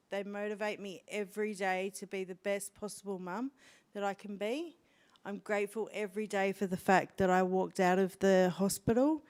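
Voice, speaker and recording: A woman's voice: medium pitch, clean tone. A woman with an Australian accent, speaking earnestly into a handheld microphone as a guest on an event stage. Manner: slow, earnest, heartfelt. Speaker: guest speaker sharing her story. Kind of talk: answering interview questions on stage.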